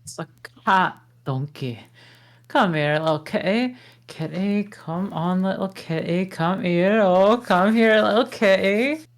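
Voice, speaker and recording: Woman reading a grumpy, slow-talking ogre. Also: medium pitch. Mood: coaxing. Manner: slow, grumbling, exasperated. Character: gruff male ogre, grumpy but decent. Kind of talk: amateur table read.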